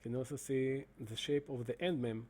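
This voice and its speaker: Halting man with a mellow voice. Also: medium pitch, fairly even intonation. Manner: halting, many fillers and restarts. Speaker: presenter demonstrating software. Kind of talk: screen-recorded explanation.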